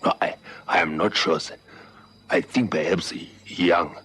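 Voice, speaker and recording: Old watchman, real low husky voice, stumbling over words, humble, old radio play. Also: a bit bright, exaggerated voice. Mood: shaken, deferential. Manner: halting, repetitive, respectful. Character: lonely, shaken old night watchman, deferential. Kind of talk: 1960s-70s radio drama acting.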